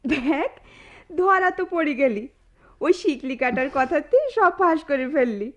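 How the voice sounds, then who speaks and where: An actress playing a sharp-tongued, teasing young village woman in a radio drama, with a rural Bangladeshi Bengali accent. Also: high voice, lively intonation, measured pace. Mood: coaxing, sly. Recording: muffled radio drama recording.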